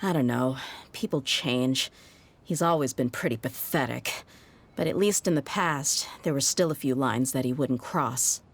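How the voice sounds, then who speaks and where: A woman's voice: rumbling low, a little slow, heavily acted. A woman voicing a tough, jaded young mercenary in a video game, speaking frankly and wryly. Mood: bitter. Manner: frank, wry, world-weary. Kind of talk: video game voice acting, story dialogue.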